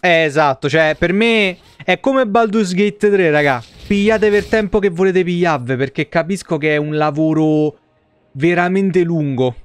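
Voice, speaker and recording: A man's voice: sits high, a little slow, clean tone, no room sound. A man, giving casual, slangy live gameplay commentary as a streamer. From Italy. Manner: colloquial, slangy. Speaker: game streamer. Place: indoor streaming room.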